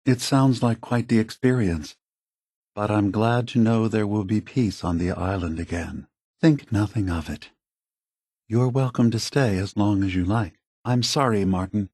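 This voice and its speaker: A man voicing an ancient, gentle, weary android leader in a video game, measured, halting and earnest, with a soft, husky delivery. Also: fairly low pitch, no room sound, clean studio sound. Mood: gracious, then dismayed. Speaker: leader of a synth refuge. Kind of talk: video game character dialogue.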